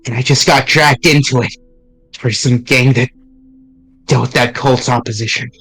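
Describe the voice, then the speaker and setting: A man streaming, reading visual novel dialogue aloud in an earnest, halting way with small restarts. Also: mid-range voice, steady tone, a bit bright, husky, faint music behind.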